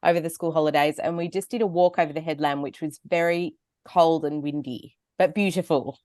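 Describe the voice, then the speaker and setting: A woman with an Australian accent, co-hosting a podcast with upbeat, animated chat. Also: low voice, a little fast, no echo, studio quality. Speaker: podcast co-host. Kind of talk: casual chat and ad read.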